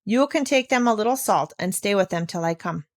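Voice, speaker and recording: A woman's voice: medium pitch, lively intonation, brisk, close to an everyday voice. A woman voicing a stubborn old mountain grandfather in a storybook, blunt and firmly determined. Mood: calm.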